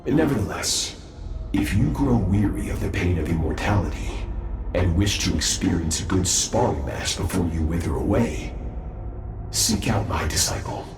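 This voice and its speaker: A legendary master who speaks with calm, lofty self-assurance. His voice sits low and rings in a roomy echo. Music plays underneath. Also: normal speed. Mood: self-assured. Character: proud brilliant young man, a legendary master. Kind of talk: video game voice acting.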